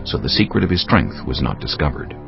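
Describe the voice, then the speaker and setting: A man narrates dramatized scripture in a deep, creaky voice. His delivery is solemn and dramatic. Music plays clearly underneath. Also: sing-song, brisk. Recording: dull band-limited audio over music.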